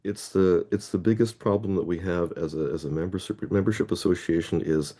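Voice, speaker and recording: A man's voice: low voice, brisk, dark tone. A middle-aged man from Toronto, a guest heading a Scrabble players association, telling a long anecdote in a casual interview and rephrasing himself mid-sentence. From Canada (Toronto).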